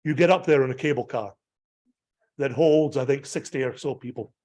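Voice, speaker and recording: A man's voice: mid-range voice, moderate pace, darkish tone. A Scottish man, a pastor, giving a digressive slideshow talk about a pilgrimage, full of ums and restarts. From Scotland.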